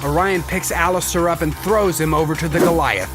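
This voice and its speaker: A man's voice: a little high, fairly even intonation, a little fast. A man narrating a comedy audio drama, with wry asides to the listener. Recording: loud music bed behind.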